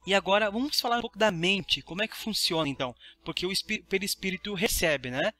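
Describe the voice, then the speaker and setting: A man's voice: high-pitched, fast, bright, forceful tone. A Brazilian man teaching a study lesson in a didactic way, reading aloud and explaining. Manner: didactic, checks understanding with tag questions.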